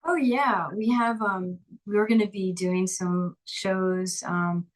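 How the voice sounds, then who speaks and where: A woman's voice: medium pitch, fairly even intonation, slow pace, rough recording. A woman musician chatting casually as an interview guest, upbeat and talkative with frequent restarts. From Lehigh Valley, Pennsylvania.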